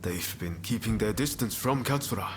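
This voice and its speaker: A man's voice: on the low side, lively intonation, normal speed, slight background noise, close to an everyday voice. An actor playing an earnest, urgent male rebel comrade in a video game, directive and concerned. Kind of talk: video game cutscene acting.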